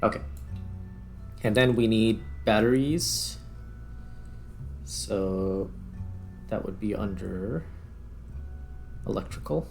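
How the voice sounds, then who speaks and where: A man talks through a game casually, musing to himself as he goes. His delivery is slow and halting, with plenty of fry in the voice. Faint music plays behind him. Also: on the low side, darkish tone. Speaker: gaming video host. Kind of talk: casual gameplay commentary, thinking aloud.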